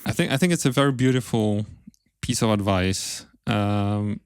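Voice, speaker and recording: A man's voice: on the low side, moderate pace, slight background noise. A man hosting a podcast, responding to his guest in a conversational interview style. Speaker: podcast host.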